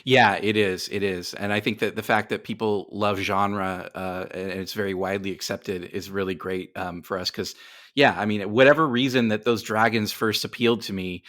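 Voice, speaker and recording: A man's voice: lowish voice, steady tone, moderate pace, fairly bright tone. A middle-aged man speaking casually as a guest in a podcast interview, with self-deprecating humour. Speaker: guest, writer.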